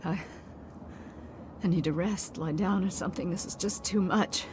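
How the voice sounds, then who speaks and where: A woman's voice: fairly low pitch, fairly even intonation, normal speed, background noise, lightly acted. An actress playing a distraught, weary woman scientist in a video game, urgent and faltering. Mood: shaken, exhausted. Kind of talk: dramatic video game acting. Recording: music behind the voice.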